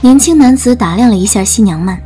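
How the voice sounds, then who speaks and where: A woman's voice: fairly high pitch, lively intonation, quick pace, dark tone. A woman narrating a scripted dramatic audiobook story. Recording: music bed under narration.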